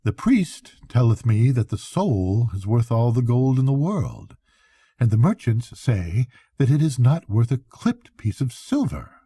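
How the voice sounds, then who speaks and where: A man's voice: medium pitch, big pitch swings, measured pace, warm tone, slightly rough, dry recording, clean studio sound, exaggerated voice. A grandfather playing an earnest, determined young fisherman in a bedtime story, in a declaiming manner. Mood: puzzled. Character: young fisherman, eager, earnest, determined to lose his soul.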